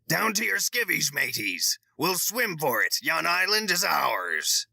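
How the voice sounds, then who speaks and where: A deranged would-be pirate bellows his orders in a gravelly, piercing voice. He stretches each word slowly, rising and falling wildly. The sound is dry and close. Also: a little high, big pitch swings, pushed and bright, dry recording, pitched above the performer's own voice, full character voice. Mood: bellowing. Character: unhinged man playing pirate, bellowing.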